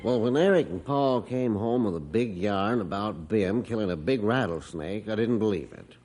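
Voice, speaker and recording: A stern rancher dad with a lowish voice, folksy and homespun. His tone rises and falls widely as he scolds and reminisces. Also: lively intonation, normal speed, darkish tone, soft background music. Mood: reflective. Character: stern rancher father, gruff but soft-hearted. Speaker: narrator of the story. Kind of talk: 1950s radio drama acting.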